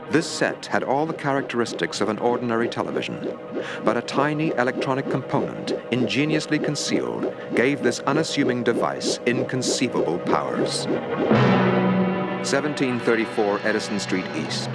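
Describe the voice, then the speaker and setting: A man narrating a mock documentary in a grave, dramatic tone. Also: medium pitch. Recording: music underneath.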